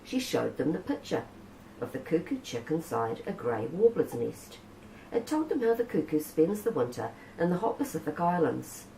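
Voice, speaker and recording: A New woman reads a children's picture book aloud with a low, warm, mellow voice and a gently rising and falling storyteller's lilt. The recording is a little roomy, with a faint hiss underneath. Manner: gentle storytelling, Maori words spoken naturally.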